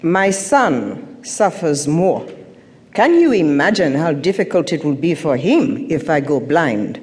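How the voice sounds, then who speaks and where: Slow, imperious African dictator's mother. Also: deep voice, sing-song, a little mellow, full character voice. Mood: sardonic, proud. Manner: haughty, measured, cutting, theatrical. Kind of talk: audio drama stage acting. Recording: reverberant theatre-like hall.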